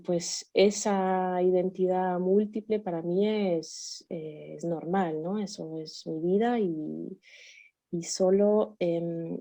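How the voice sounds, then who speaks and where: A woman's voice: on the low side, warm tone. A woman raised in Switzerland sharing her life story on a video call, hesitant with many fillers and restarts. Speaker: guest. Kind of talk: casual personal sharing in a group chat.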